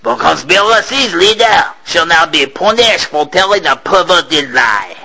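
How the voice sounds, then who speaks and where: A man voicing a cruel, commanding ship captain who barks out slow, drawn-out threats in a storybook reading. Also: fairly high pitch, animated, vocal fry, bright, forceful tone, husky, pitched above the performer's own voice, exaggerated voice.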